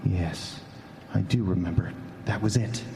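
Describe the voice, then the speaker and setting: A man's voice: low voice, gravelly, warm tone. A man acting an obsessive, irritable older scientist haunted by guilt, in old-time radio drama style, brooding and snapping. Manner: brooding, halting self-talk and snappish orders. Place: stage before a live audience. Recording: echoey hall, live stage, background noise.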